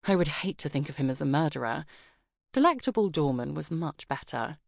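Low-voiced woman narrating an audiobook. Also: gravelly, no echo, clean studio sound. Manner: measured, expressive reading. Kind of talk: scripted first-person narration. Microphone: close studio mic.

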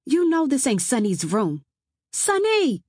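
A woman voicing a feisty, sharp-tongued, fed-up young woman in audiobook dialogue. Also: on the high side, lively intonation, normal speed, dry recording, clean studio sound, voice pushed up. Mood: angry, defiant.

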